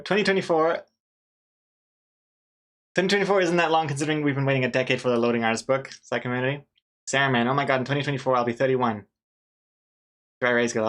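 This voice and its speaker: Man, thirties, livestream artist, relaxed running commentary, self-mocking jokes. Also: medium pitch. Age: 30s. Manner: chatty, self-mocking, replies to chat. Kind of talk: casual commentary while drawing on stream.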